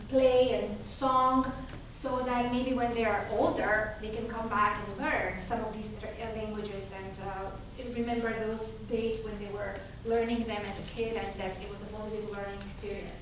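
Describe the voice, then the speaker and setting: Macedonian woman lecturing in an echoey hall. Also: on the high side. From North Macedonia. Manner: earnest, with frequent fillers and restarts. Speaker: guest speaker. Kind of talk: academic talk. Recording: echoey hall from far off, noisy.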